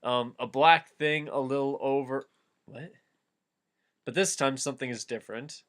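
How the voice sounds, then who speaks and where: A man's voice: medium pitch, moderate pace, a bit bright. A man hosting a casual reading of fan fiction, switching between narrating the text and offhand commentary on it.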